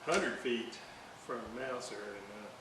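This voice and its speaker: A man giving casual hands-on commentary as he repairs equipment, halting and muttering while he works. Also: mid-range voice. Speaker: hobbyist repairer narrating a restoration. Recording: hissy, roomy, distant microphone.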